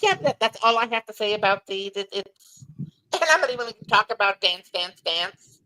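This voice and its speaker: Hoarse, lively woman guest. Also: mid-range voice, rough recording. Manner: animated, laughing, halting.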